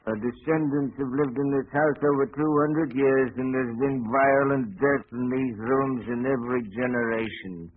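An actor playing a superstitious, gossipy male servant telling dark tales in an old radio mystery, confiding and portentous. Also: medium pitch, steady tone, moderate pace, few pauses, a little hiss or hum, lightly acted. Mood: ominous. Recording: narrow, tinny vintage radio recording.

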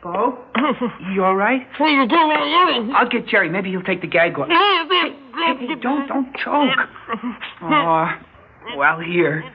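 A man's voice: very high-pitched, sing-song, takes it slowly, long pauses, heavily acted. A man with an American accent acting a naive, anxious teenage boy in a radio drama, hesitant in delivery. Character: nervous, naive teenage boy, youngest of the gang. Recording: thin, tinny old radio recording.

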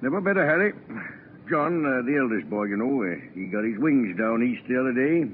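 A man's voice: mid-range voice, expressive, a little fast, phone-quality sound, lightly acted. Folksy contented farmer played by a man, crackly radio. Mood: pleased, proud. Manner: folksy, clipped phrases. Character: contented middle-aged farmer, proud father. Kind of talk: 1940s radio acting. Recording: noisy band-limited 1940s radio.

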